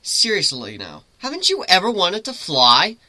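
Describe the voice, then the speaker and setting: A man voicing a brash, commanding griffin ship's captain in a story reading, loud, theatrical and taunting. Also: high voice, huge pitch swings, normal speed, dry recording, pitched above the performer's own voice, heavily acted.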